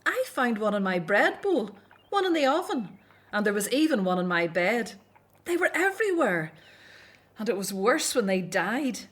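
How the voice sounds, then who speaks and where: A woman's voice: mid-range voice, sing-song, normal speed, fairly bright tone, breathy edge. A woman playing a dutiful, outspoken grown daughter in an audio drama set in ancient Egypt. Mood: weary, complaining.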